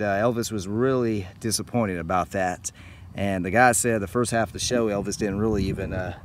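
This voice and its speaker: A middle-aged man hosting a travel vlog on location, speaking enthusiastically and directly to his viewers. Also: fairly low pitch. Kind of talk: on-location narration to camera about music history. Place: outdoors at a small-town baseball field. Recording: outdoor ambient noise, passing vehicles.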